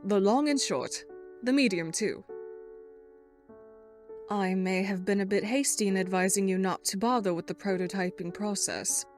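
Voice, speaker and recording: A wry, bookish girl played by a woman with a medium-pitched voice. She delivers a verbose fandub monologue, deadpan and articulate, with faint music behind her. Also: normal speed, close to an everyday voice. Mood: dry, sardonic. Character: dry, erudite, sarcastic girl writing a game walkthrough.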